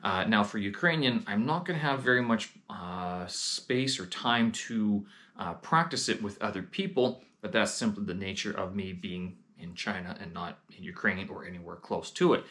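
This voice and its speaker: Low-voiced man vlogging with many fillers. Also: moderate pace, bright, forceful tone. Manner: hesitant, many "uh" fillers and restarts. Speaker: vlog host. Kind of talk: casual vlog talk. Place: lives in Beijing.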